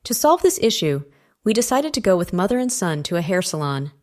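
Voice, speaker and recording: A woman's voice: lowish voice, big pitch swings, a little fast, soft background music. A woman voicing a composed, helpful female puppet reporter in a fan-dubbed mock news show.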